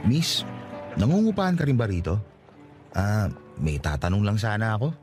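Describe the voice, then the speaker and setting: An actor playing a modest, polite newcomer from the provinces, a little hesitant, in a radio drama. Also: male voice, lowish voice, wildly swooping pitch, normal speed, loud music behind the voice. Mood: polite, unsure. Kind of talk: Tagalog radio drama acting.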